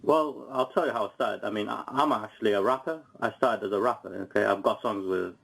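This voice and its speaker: A man from Iraq, a podcaster on a talk radio show, combative and profane and given to yelling insults. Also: fairly low pitch, fairly quick, vocal fry, slightly rough, rough recording. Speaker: podcaster on a call-in. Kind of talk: heated talk radio guest.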